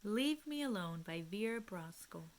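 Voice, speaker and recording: A woman reading a storybook aloud to young children, expressive and child-directed, pausing often and addressing her listeners. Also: medium pitch.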